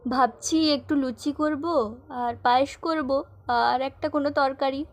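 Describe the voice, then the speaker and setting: Woman playing a high-voiced, homely elder sister doll. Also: steady tone, a little slow. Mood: cheerful, planning. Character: caring, bossy elder sister doll, homemaker. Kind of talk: doll-play storytelling dialogue.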